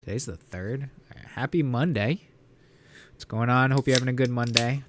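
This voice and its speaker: A man streams from home, his nose congested and sniffly. He rambles casually to his viewers, laid-back and quick to swear. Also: mid-range voice, fairly bright tone, dry recording. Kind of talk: casual live-stream chat.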